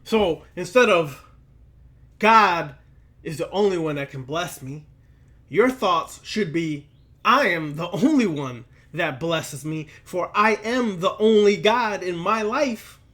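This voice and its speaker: A man lecturing like a preacher, speaking deliberately and emphatically, with repetition and rhetorical questions. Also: sits high, expressive, a bit bright. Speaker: occult lecturer.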